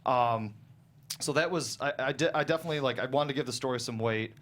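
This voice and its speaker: Man, medium pitch, guest writer, casual interview, hesitant, rambling, prone to chuckling. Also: quick pace, a bit bright. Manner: hesitant, rambling, often chuckles mid-sentence. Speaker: guest screenwriter. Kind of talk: casual interview discussion of own writing.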